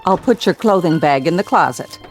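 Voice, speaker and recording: An actress playing a polite, patient hospital nurse, businesslike, in radio drama style. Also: female voice, fairly low pitch, animated, a little fast, faint music behind, close to an everyday voice. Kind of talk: old-time radio drama acting.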